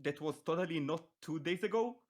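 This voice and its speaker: A young man from Israel with an Israeli accent, Hebrew his first language, hosting a casual chat podcast and talking haltingly with many restarts. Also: a little high. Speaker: podcast host.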